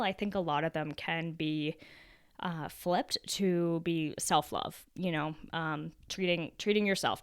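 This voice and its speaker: A young adult woman with a cold, her voice raspy and deeper than usual, chatting casually on her solo podcast with fillers and restarts. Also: no room sound, clean studio sound. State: hoarse from a cold. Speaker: podcast host.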